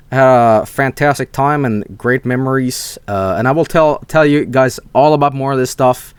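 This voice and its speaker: A man's voice: medium pitch, clean tone, no room sound. A young man from Sweden, telling rambling stories on a casual stream, swearing freely and restarting his sentences.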